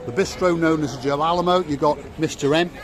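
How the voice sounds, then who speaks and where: A man's voice: a little high, a little fast, crowd noise. A middle-aged man from Wales giving a chatty, jokey walking tour of a harbour at night, repeating words for emphasis. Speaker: vlog host. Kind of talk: casual walking tour commentary. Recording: music playing behind.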